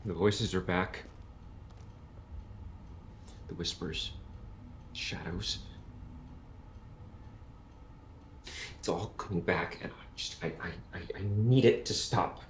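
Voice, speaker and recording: A man's voice: sits low, a little slow, pauses often, a little hiss or hum. An actor playing a desperate, haunted male patient in an audio horror drama, stammering and distressed. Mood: pleading, distressed. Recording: music behind the voice.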